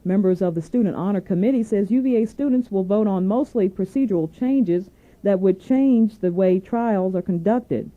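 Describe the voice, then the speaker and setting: A woman anchoring a scripted radio newscast, reading in a formal, measured way. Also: mid-range voice. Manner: formal, measured newsreading delivery. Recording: narrow tinny AM radio aircheck.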